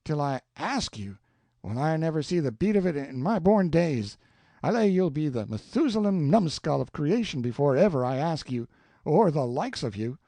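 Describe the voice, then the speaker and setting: A man voicing a flustered, hospitable older Southern aunt who scolds in a shrill, excitable voice. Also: moderate pace, no echo, heavily acted. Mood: indignant.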